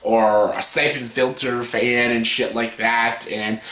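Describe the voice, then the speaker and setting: Late-20s man, scratchy, bright and forceful, casual rambling vlogger, roomy echo. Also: medium pitch, level tone, takes it slowly. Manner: rambling, profane, filler-heavy. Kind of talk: casual top-ten countdown.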